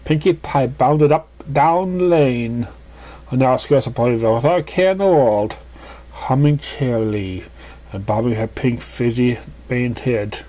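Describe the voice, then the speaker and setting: A man narrates a written story in a mellow, relaxed voice. His pitch is average and his reading is a little halting, like an amateur working through the text. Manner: halting reading with misread words. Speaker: story reader. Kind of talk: scripted narration.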